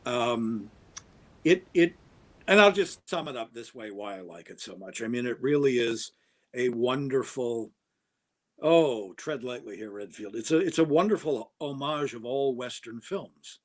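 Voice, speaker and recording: Male podcast guest, mid-pitched, enthusiastic and rambling, with lots of fillers and restarts. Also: animated, measured pace. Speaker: guest actor. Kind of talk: casual podcast chat.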